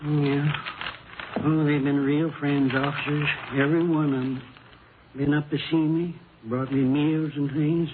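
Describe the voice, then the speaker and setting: Casting: male actor as plaintive, evasive widower for period radio crime drama; fairly high voice, hesitant, on a dulled old recording. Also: level tone, a little slow, natural voice. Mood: wistful. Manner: hesitant, plain-folks, wounded. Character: bereaved husband under questioning, plaintive, defensive, evasive. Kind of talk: 1950s radio drama acting. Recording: muffled, noisy old-time radio recording.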